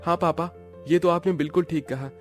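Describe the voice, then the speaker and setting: Cartoon role: dutiful young son and husband, played by a man, earnest, pitched up, music underneath. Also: steady tone, fairly quick, lightly acted. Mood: affectionate. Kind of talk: Hindi cartoon family drama acting.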